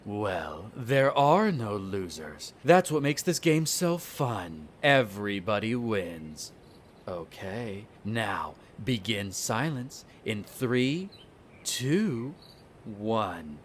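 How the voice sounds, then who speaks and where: A man playing a smug, bitter vampire noble, the eldest son, in an audio roleplay, speaking in a haughty, sardonic way with drawn-out theatrical phrasing. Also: fairly low pitch, big pitch swings, a little hiss or hum, exaggerated voice. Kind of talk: scripted audio roleplay acting addressed to the listener.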